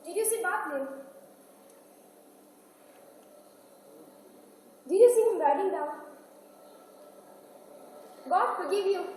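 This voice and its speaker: A woman playing Kathleen, an anxious, practical young daughter, in a declamatory and deliberate stage-play delivery. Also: very high voice, darkish tone, slight background noise. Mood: pressing, reproachful. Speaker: stage actor. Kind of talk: college one-act stage play, declaimed. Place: stage in a large hall. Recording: echoey hall from far off, music behind.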